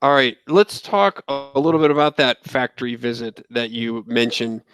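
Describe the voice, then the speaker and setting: A man's voice: medium pitch, fairly even intonation, moderate pace, a bit bright, clean tone, no echo, soft background music. An American man hosting an interview, introducing his guest and asking questions. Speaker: interviewer, trade magazine host.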